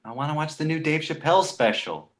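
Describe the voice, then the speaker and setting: A man plays a precocious two-month-old boy who talks like a jaded adult. The delivery is sardonic and pedantic, with a slightly high pitch and very animated swings, read for an animated comedy. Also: quick pace, lightly acted. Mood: dry, dismissive.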